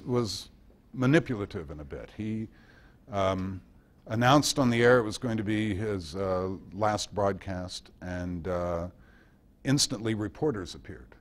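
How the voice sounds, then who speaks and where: Low-voiced American man speaking thoughtfully. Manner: hesitant, thoughtful, anecdotal delivery with long pauses. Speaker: guest speaker and author at a club meeting. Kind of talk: informal talk answering audience questions. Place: indoor club meeting.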